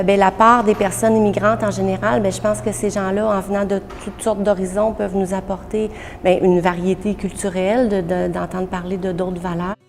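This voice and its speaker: Gravelly-voiced woman, steady and flat. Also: medium pitch. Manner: measured, hesitant with many fillers. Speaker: human resources director. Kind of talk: on-camera interview. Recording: echoey room with faint background noise.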